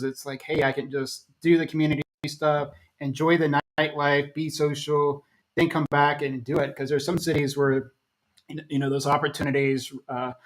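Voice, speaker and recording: A man's voice: on the high side, fairly bright tone, low-quality recording. An American man hosting an interview, rambling casually with restarts and fillers. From United States. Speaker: host.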